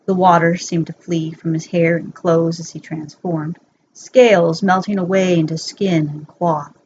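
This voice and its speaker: A woman's voice: fairly low pitch, fairly even intonation, unhurried, a little mellow. A woman narrating fan fiction as a bedtime story, reading from a script in an amateur recording. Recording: dull lo-fi home recording, faint noise.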